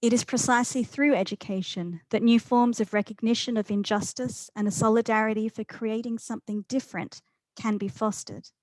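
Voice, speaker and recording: A woman's voice: a little high, steady tone, moderate pace, darkish tone, dry recording, rough recording. Composed woman reading an academic talk. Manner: composed academic delivery, politely handing over to the panel. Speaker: seminar host and moderator.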